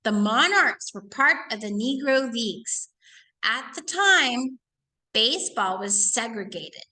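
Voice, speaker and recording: A woman's voice: on the high side, animated, slow pace, pushed and bright, rough recording. A woman teacher reading aloud to children, stopping to ask questions and explain things in a didactic way. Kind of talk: read-aloud lesson for children with asides and questions.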